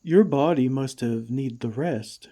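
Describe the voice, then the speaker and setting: A man playing a gentle, caring friendly giant in an ASMR comfort roleplay, with tender, old-fashioned, unhurried phrasing. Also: medium pitch. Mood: gentle concern.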